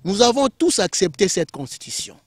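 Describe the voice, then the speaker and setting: Congolese guy from kinshasa explaining stuff in an interview, halting, highish voice, dry sound. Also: very animated, no echo. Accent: Congolese French. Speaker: guest political scientist.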